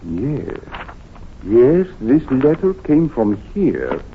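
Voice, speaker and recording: An actor playing a suave, courteous foreign consul in an old-time radio drama, with formal, careful phrasing. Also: male voice, mid-range voice, big pitch swings, very slow. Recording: tinny, noisy vintage radio recording.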